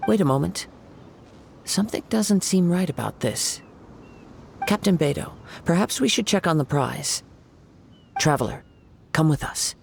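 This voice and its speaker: An actor playing a composed young samurai wanderer in a video game, speaking in measured, formal phrases. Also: male voice, on the high side, animated, vocal fry, a little hiss or hum. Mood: calm.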